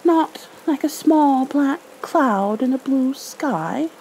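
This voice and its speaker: Woman voicing an earnest, high-pitched storybook bear. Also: expressive, takes it slowly, mellow, a little hiss or hum, exaggerated voice, rough recording. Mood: anxious, hopeful.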